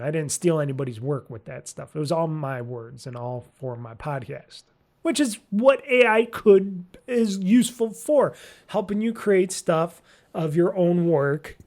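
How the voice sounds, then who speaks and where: Guy doing a gaming podcast, kinda high voice, rambles through the news, super informal. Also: a little mellow. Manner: rambling, informal, mildly profane. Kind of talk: casual solo news commentary.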